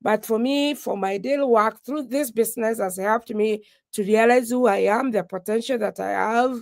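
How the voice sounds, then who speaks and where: A woman from Kenya giving a slow, halting testimony of faith on a video call, pausing often as she thanks God. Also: a little high, creaky, no room sound, studio quality. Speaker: guest speaker.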